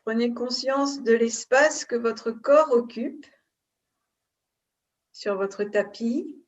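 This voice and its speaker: Female yoga teacher, higher-pitched voice, very slow and calm guided relaxation, recorded some way from the microphone. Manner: calm, unhurried, pausing between short phrases. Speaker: yoga nidra instructor. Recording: distant mic, dull muffled sound.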